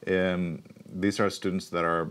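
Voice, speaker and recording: Man, forties, very low voice, thoughtful explainer, studio interview, lapel mic. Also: little pitch movement, measured pace, clean tone. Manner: thoughtful, explanatory, frequent um and restarts. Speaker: university researcher, keynote guest. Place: TV studio set.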